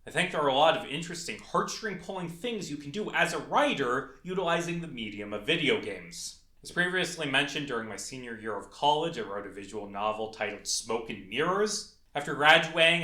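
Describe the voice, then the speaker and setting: A man's voice: mid-range voice, bright, forceful tone. A young adult man narrating a scripted video essay as a game developer. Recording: echoey, reverberant room.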